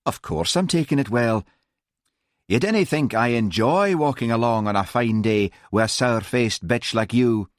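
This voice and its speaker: A Highland Scottish policeman, played by a male audiobook reader, light and pleasant on the surface but softly cutting. The voice is lifted and lilting, with lively pitch and a dry, close sound. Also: unhurried, no echo. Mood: quietly furious. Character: easygoing Highland policeman, politely and dreamily insulting.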